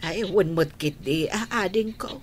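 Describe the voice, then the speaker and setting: A woman with an Ilocano accent playing a gentle, grateful older sister in a radio drama. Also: fairly low pitch, unhurried, a little hiss or hum, close to an everyday voice.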